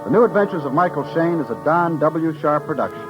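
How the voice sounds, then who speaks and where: Male old-time radio credits reader, scripted, fairly high pitch, dull recording with clear music. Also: expressive, fast. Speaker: radio director giving closing credits. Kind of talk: scripted 1940s radio announcing.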